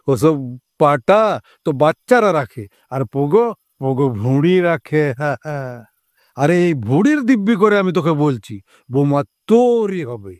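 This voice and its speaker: A man's voice: fairly high pitch, animated, slow, no echo, clean studio sound. An actor playing a boastful, jokey, protective middle-aged father in an audio story, theatrical and teasing. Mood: jovial bragging. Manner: theatrical, teasing, exclamatory with colloquial banter. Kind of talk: Bengali audio story acting.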